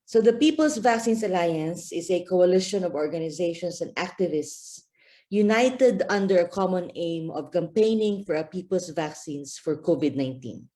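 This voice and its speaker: A woman's voice: lowish voice, lively intonation, normal speed, warm tone. A woman from the Philippines giving an earnest talk at a press briefing over a video call, with frequent fillers. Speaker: guest speaker, campaign manager.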